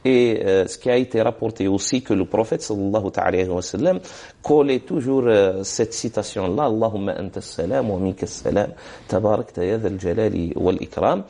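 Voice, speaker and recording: A man's voice: fairly low pitch, fairly quick, dark tone. A man giving religious advice on a TV program, speaking hesitantly with many fillers and reciting Arabic formulas. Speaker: religious scholar answering questions. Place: TV studio.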